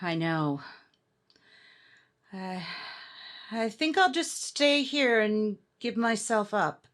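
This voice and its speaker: An actress playing a frightened young wife trapped with a gunman, breathless and quick to panic, in 1950s radio drama style. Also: mid-range voice, expressive, fairly bright tone. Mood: weary resigned.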